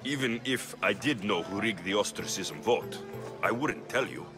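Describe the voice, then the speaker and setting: An actor playing a self-assured, pragmatic ancient Greek mercenary in a game cutscene, terse and dry. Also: male voice, lowish voice, normal speed, natural voice. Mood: guarded, firm. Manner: terse, dry, matter-of-fact. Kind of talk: video game cutscene acting. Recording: music under the voice.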